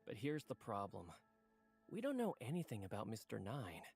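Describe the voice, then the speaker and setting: A man playing a polite, earnest book editor in a video game, explaining things in a courteous, animated way. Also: lowish voice, normal speed, vocal fry, husky, faint music behind, full character voice.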